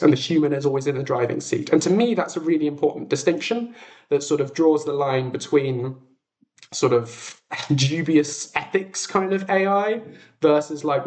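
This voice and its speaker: A British man hosting a casual livestream, chatting in a rambling, explanatory way with tangents. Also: a little high. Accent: British English. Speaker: livestream host, robotics researcher. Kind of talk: casual livestream chat to viewers. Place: small furnished flat, indoors.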